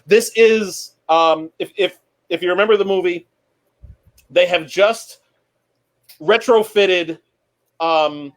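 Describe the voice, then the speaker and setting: A man speaking as a panelist, chatting casually and reading book excerpts aloud in a measured, storytelling way. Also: high-pitched. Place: remote online panel from home.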